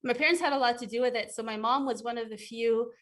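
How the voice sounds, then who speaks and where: A woman's voice: a little high, steady tone, quick pace. A woman from Ecuador, a guest in an interview, answering thoughtfully with frequent fillers and restarts.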